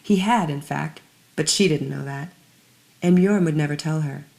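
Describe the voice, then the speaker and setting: A woman narrating scripted fiction in a measured, dramatic storytelling manner, letting some phrases fall hushed. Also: sits low, gravelly.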